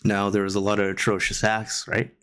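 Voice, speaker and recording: A man from Guyana giving a casual opinion monologue, rambling with many pauses and restarts. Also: lowish voice, completely flat delivery, fairly bright tone, clean tone. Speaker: commentator reacting to online drama. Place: Canada.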